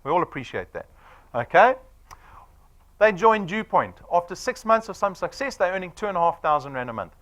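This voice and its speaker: A man's voice: high-pitched, sing-song, fairly quick, fairly bright tone. A man of forty with a South African accent, giving a punchy sales presentation to an audience and firing off rhetorical questions. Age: 40s.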